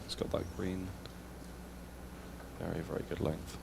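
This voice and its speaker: English guy commentating snooker, deep gravelly voice, calm and measured, some hiss behind. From England.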